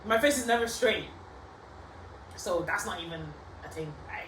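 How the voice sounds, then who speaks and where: A woman's voice: medium pitch, normal speed, a bit bright, far from the mic. A young woman hosting a casual podcast, teasing and playful, using slangy chat. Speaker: podcast host. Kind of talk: casual banter interview. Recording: roomy, off-mic, faint background hiss.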